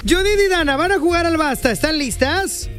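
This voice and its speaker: A man's voice: extremely high pitch, animated, brisk, music in the background. A man hosting a comic radio show, teasing callers and laughing often. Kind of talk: comic morning radio banter.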